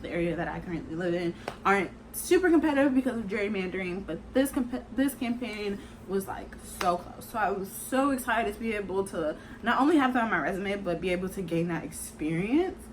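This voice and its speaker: A woman's voice: medium pitch, animated, a little fast, slight background noise, poor audio. A woman in her twenties talking casually to camera in a chatty, rambling way, filling her speech with "like" and "kinda". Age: 20s. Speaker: vlogger.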